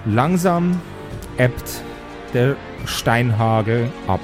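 A man's voice: medium pitch, expressive, very slow, music in the background. A man acting as game master, narrating an improvised tabletop role-playing game with dramatic storytelling between casual rules talk.

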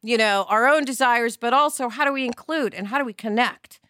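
A middle-aged woman with a highish, bright voice co-hosts a casual podcast. She talks candidly and emphatically, and the sound is very dry. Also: fairly quick, no room sound. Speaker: podcast co-host.

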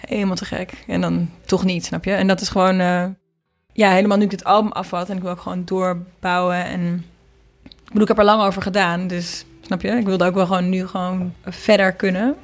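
A woman's voice: lowish voice, little pitch movement, brisk. A woman chatting casually in an interview, with an informal, rambling way of talking. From Netherlands. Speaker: singer and producer being interviewed.

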